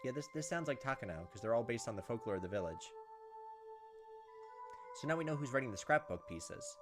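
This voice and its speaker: A man's voice: mid-range voice, expressive, a little fast. A man hosting a gaming video, reading visual novel text aloud and adding his own commentary. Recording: game music and insect sounds behind.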